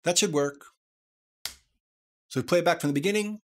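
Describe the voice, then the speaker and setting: Man narrating a software tutorial. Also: on the high side, a little fast. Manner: step-by-step, explanatory. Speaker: tutorial presenter.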